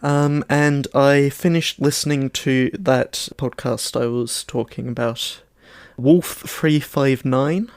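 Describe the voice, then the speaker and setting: A man co-hosting a podcast in casual conversation, with a measured, dry and hesitant delivery. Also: medium pitch, steady tone, clear, resonant tone, no echo. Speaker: podcast co-host. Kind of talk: casual chat.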